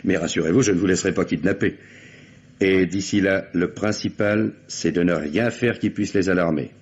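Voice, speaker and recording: A deep, slightly husky man's voice as a shrewd police chief in a radio mystery. He is measured, commanding and dryly ironic. The old recording sounds dull and muffled. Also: fairly even intonation, darkish tone. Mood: calm, commanding. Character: shrewd, commanding police boss plotting a nighttime sting. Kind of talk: French radio crime drama acting.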